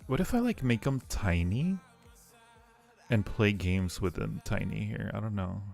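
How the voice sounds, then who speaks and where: A man chatting casually with viewers as a livestream host, sometimes halting as he explains things. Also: on the low side, expressive, creaky, a little mellow. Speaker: streamer and audio roleplay creator. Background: faint music.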